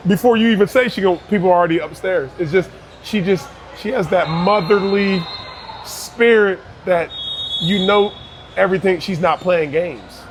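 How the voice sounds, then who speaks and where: A man's voice: sits high, moderate pace, slight background noise, low-quality recording. A man in his thirties from Barbados, a youth basketball coach talking earnestly in an interview, often restarting his words. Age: 30s. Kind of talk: casual interview conversation.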